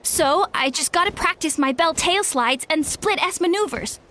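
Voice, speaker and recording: A woman's voice: very high-pitched, expressive, normal speed, sharp tone, slight background noise, exaggerated voice. A woman playing an eager, insecure young trainee flier in a video game, with an earnest, breathless delivery. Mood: shy eagerness. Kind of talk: video game dialogue acting.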